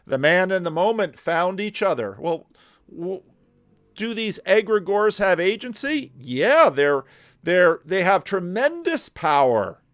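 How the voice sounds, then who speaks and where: A man commenting on a video clip, talking in animated rhetorical questions with slow, deliberate emphasis. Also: high voice, no echo, studio quality.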